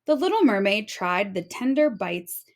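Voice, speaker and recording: A woman narrating a children's storybook aloud in an expressive storytelling manner. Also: medium pitch, moderate pace. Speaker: storybook reader.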